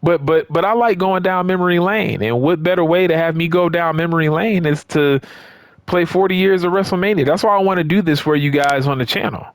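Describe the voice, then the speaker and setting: A man with a slightly high voice gives casual, unscripted gaming commentary in a close, dry recording. He is emphatic, repeats phrases to drive them home and keeps checking in with his audience as he goes. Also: a little fast, clear, resonant tone, no echo. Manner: conversational, checks in with listeners. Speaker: gaming YouTuber.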